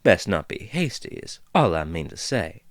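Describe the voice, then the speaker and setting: A man's voice: deep voice, sing-song, moderate pace, dry recording, studio quality. A man voicing a chatty female dwarf who rambles in a folksy drawl, in a storybook reading. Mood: drawling, amused. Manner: meandering, drawling, folksy turns of phrase.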